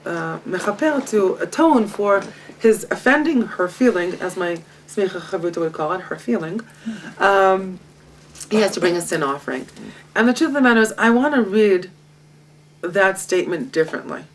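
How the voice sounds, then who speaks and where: A woman, a Hebrew speaker, leads a Torah study session. Her voice sits at a middle pitch, and her delivery is lively and self-mocking, with frequent laughter. The mic is set some distance away in a home library. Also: moderate pace, slight background noise. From Israel. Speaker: guest teacher.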